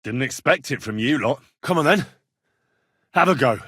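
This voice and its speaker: A British actor playing an earnest, curious young blacksmith's son in a medieval video game, speaking in a plain, inquisitive and informal way. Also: male voice, medium pitch, animated, normal speed, a bit bright, slightly rough, no echo, clean studio sound. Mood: goading, exasperated. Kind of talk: game dialogue lines.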